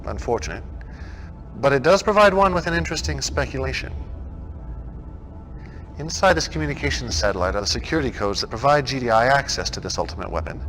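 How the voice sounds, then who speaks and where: A man playing a commanding, calculating cult-like military leader in a video game briefing, speaking in a measured, solemn, imperious way. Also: mid-range voice, expressive, fairly bright tone, low-quality recording. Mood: solemn resolve. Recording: background music.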